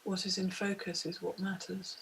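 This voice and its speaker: Female meditation guide, very monotone and measured, hypnotic pauses, over noticeable ambient noise. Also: medium pitch, gravelly, poor audio. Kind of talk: guided meditation, scripted narration.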